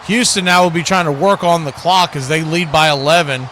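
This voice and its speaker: A man's voice: a little high, brisk, sharp tone, a little hiss or hum. An American man calling football play-by-play for radio, hosting in clipped announcer phrases. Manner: announcer delivery describing action in clipped phrases. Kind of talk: live American football commentary and station promos.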